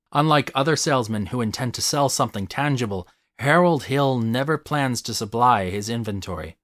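A man reading a scripted, analytical video essay in a measured, articulate way, stressing key words. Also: medium pitch, fairly bright tone, no echo, clean studio sound.

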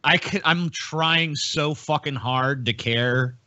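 A man's voice: a little high, slow pace, pushed and bright, dry recording, dull audio. A man hosting a podcast in casual banter, irreverent and profane. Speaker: podcast host.

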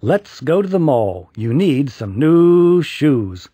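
A man's voice: on the high side, very animated, takes it slowly, warm tone, breathy edge, dry recording, heavily acted. A man voicing a fussy, apologetic mother in a storybook, over-eager and prone to stammering. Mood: coaxing.

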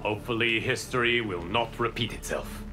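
An actor playing a benevolent god and mentor in a fighting game, solemn, measured and formal. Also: male voice, fairly low pitch, lightly acted. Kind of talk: fighting game character dialogue. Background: game music.

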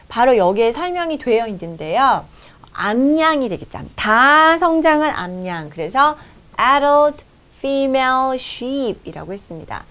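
A woman in her thirties teaching an English lesson in a studio, reading the English text slowly and clearly and then explaining it in Korean. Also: fairly high pitch. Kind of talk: video lesson explaining a news article. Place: studio in front of a projected screen.

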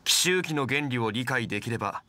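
Game role, calm scholarly gentleman, polite and measured, crisp bright timbre. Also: medium pitch, a little hiss or hum. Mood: composed. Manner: composed, formal, measured. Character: calm, refined, erudite gentleman adventurer. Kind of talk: Japanese game voice acting.

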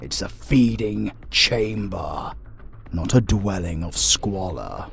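A man voicing a formal, haughty centuries-old vampire in a dark audio drama, with ornate, deliberate speech. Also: low voice, expressive, gravelly, slight background noise, heavily acted. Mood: scornful, commanding.

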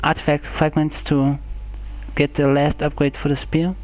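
A person giving casual let's play commentary as a gaming channel host, in a halting, hesitant way. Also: medium pitch, flat delivery, darkish tone, rough recording.

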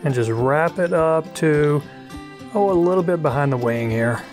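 A middle-aged man narrating a fly tying tutorial in a relaxed, chatty way, thinking aloud as he works. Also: on the high side, moderate pace, music in the background. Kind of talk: casual instructional narration. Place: outdoors on a wide river.

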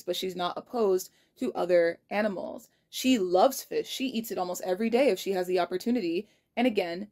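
A woman's voice: medium pitch, darkish tone. Earnest young woman vlogging from her kitchen. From United States. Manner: earnest and reflective, frank, spells out numbers carefully. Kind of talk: casual diary vlog. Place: kitchen indoors. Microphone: phone held low at arm's length.

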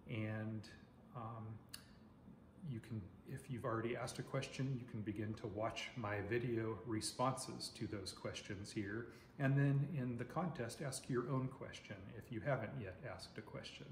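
A man in his forties from Oregon hosts an insect channel, chatting to the camera in a loud voice with lots of filler words. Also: medium pitch, fairly even intonation, measured pace. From Oregon, United States. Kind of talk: casual talk to camera. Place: dining room at home, indoors. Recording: very echoey room, faint noise.

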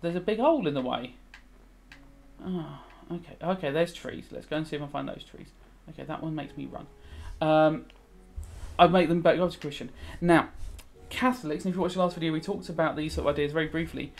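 A British man in his thirties with a fairly high voice talks casually as a teacher. He rambles and thinks aloud, moving between explaining a subject and musing over a video game. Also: fairly quick, vocal fry. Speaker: religious studies teacher. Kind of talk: casual revision commentary while playing a video game.

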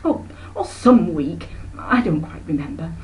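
A woman's voice: lowish voice, animated, unhurried, a little mellow, recorded from a distance, slight background noise, rough recording. A woman voicing a breezy, self-important middle-aged aunt in a story reading, gushing and pompous. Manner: gushing, pompous, talks in long runs.

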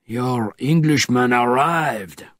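Hulking informant, low and measured, formal menace, read by a man, husky delivery. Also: fairly bright tone, no echo, clean studio sound. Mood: cold.

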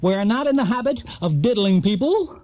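A man playing a smug male quiz show master of ceremonies in a children's storybook, with a drawn-out showman's delivery. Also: sits high, animated, over music, voice pushed up. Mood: stiff.

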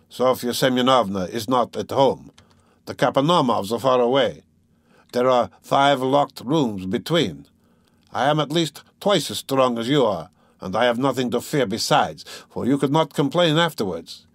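A man's voice: mid-range voice, no echo, studio quality, pitched above the performer's own voice. Man voicing an obsessive, menacing male tormentor. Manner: emphatic, feverish, insinuating. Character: obsessive, menacing male tormentor, pleading then coldly mocking. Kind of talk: dramatic audiobook character reading.

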